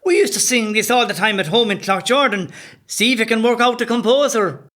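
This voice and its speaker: An actor playing a cheery, excitable rebel comrade in an audio play. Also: male voice, very high voice, fairly quick, heavily acted. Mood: excited.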